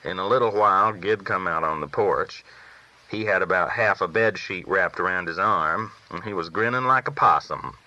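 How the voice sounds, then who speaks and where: A man's voice: low voice, moderate pace, bright, forceful tone, lo-fi sound. A man reading a first-person novel aloud as a folksy storyteller. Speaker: audiobook reader.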